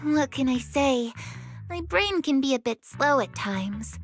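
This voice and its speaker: A woman voicing an earnest, cheeky young squad soldier in a video game, with a lively, familiar manner. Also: sits high, unhurried, soft background music. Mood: playful.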